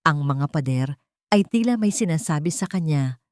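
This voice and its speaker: A woman reads a dramatic, sentimental story as its narrator. Her voice sits a little low, and she tells it in a measured, solemn way, with a close, dry sound. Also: expressive, darkish tone, dry recording.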